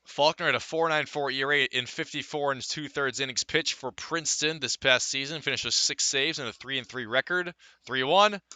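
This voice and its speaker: A man's voice: medium pitch, a little fast, few pauses, pushed and bright, dry recording. A man calling live baseball play-by-play for radio. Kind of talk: live radio sports commentary.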